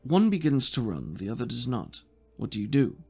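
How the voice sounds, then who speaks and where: A man narrating a tabletop roleplaying game as game master, setting scenes and prompting the player in a measured way. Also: medium pitch, very animated, dark tone, breathy edge, soft background music.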